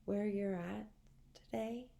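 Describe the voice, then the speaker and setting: Slow, gravelly-edged woman guiding relaxation. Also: mid-range voice, fairly even intonation. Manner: soothing, gentle, measured with long pauses. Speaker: guided meditation instructor. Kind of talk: guided relaxation meditation.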